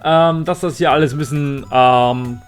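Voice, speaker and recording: A man who is a native German speaker, hosting a casual let's play in a halting way with theatrical announcer flourishes. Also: a little high, clear, resonant tone, faint music behind. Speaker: host of a gaming channel.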